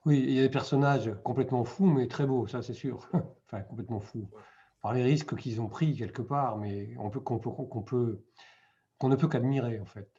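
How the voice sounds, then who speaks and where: Mellow-voiced man moderating a discussion. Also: medium pitch, normal speed, muffled recording. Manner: casual, hesitant.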